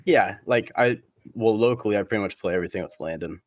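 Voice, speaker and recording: A man chatting casually as a podcast guest, rambling and halting, with plenty of slang. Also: lowish voice, no room sound, highs cut off. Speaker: guest, competitive cornhole player.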